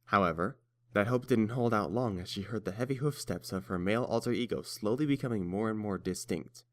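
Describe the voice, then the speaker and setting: A man's voice: fairly low pitch, a little fast. A man reading scripted story narration as the narrator of a fan fiction tale.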